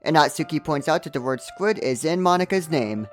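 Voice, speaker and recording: A man narrates a game review with very lively, swinging intonation over background music. His delivery is scripted and comedic, full of sarcastic asides and exaggerated reactions. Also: fairly high pitch, a little fast. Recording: music under the voice.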